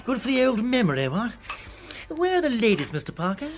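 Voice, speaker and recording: A man playing a gossipy, foppish upper-class English gentleman in a radio drama, full of old-fashioned slang. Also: very high-pitched, huge pitch swings, fairly quick, a little hiss or hum, heavily acted. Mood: peevish, chatty. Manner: slangy, tagging remarks with "what?".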